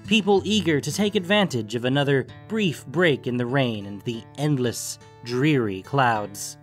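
A man reading scripted fantasy fiction as an audiobook narrator. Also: medium pitch. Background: music.

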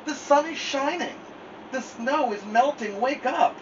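A man voicing a cheerful, eager young male frog in a storybook, calling out brightly. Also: moderate pace, muffled recording. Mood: excited. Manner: high excited calling. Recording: distant mic with background noise.

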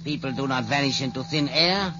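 A man's voice: mid-range voice, a little fast, close to an everyday voice. An actor playing a scheming, self-righteous male scientist informer in an old-time radio drama, on a muffled, noisy vintage broadcast. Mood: smug.

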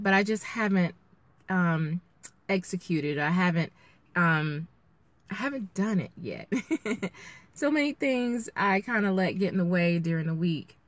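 A woman vlogger chatting casually and reflectively in an encouraging way, with halting pauses as she thinks. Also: lowish voice, fairly bright tone, no echo. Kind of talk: casual chat, motivational vlog. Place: inside a parked car, daytime. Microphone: camera or phone at arm's length.

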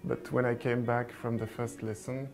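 A young man tells his story softly, with a gravelly edge to his voice. Guitar music is clearly heard in the background. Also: mid-range voice, little pitch movement, normal speed. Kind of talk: interview anecdote. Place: room, indoors.

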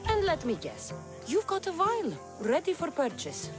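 A woman's voice: extremely high pitch, huge pitch swings, moderate pace, music in the background, exaggerated voice. A woman playing a confident, sardonic female warrior in a video game, speaking in a dry, skeptical and boastful manner. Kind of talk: video game character acting.

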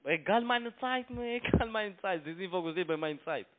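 Higher-pitched foreign-accented man on tinny phone. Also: expressive, brisk, a little hiss or hum. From outside Germany. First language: not German. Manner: broken German, defensive, repetitive. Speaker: phone scam caller. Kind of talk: argumentative phone conversation. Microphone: telephone. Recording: tinny narrowband phone line.

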